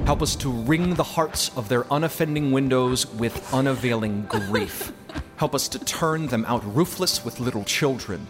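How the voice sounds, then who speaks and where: A man voicing a solemn, fervent messenger of God in a storybook reading, preaching in measured archaic phrases. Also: medium pitch, natural voice. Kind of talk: dramatic storybook narration.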